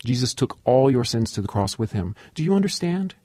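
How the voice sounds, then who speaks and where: Patient evangelist: earnest, measured, solemn, medium pitch, close dry radio-drama sound. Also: male voice, very animated, mellow, dry recording. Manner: measured, solemn when quoting. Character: patient, earnest male evangelist quoting scripture.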